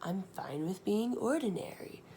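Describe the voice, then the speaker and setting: A woman's voice: medium pitch, big pitch swings, normal speed, mellow, slight background noise. A young woman voicing a humble, earnest garfish from a children's storybook in a plain way. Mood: resolved. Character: humble, downhearted then self-accepting young garfish.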